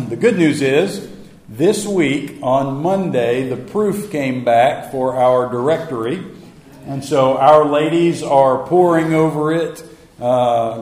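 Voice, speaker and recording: A slightly hoarse middle-aged pastor talks to his congregation in a folksy, easygoing way, filling pauses with "uh" and poking fun at himself. His voice sits at an ordinary middle pitch and carries a roomy echo from the church around him. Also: darkish tone. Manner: folksy, self-deprecating, many fillers. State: a little hoarse. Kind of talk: casual Wednesday night church talk.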